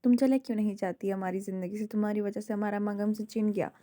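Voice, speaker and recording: A woman reading a spiteful, jealous young woman who taunts her rival with venom, in a hoarse voice. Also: mid-range voice, little pitch movement, quick pace, mellow, natural voice. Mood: taunting.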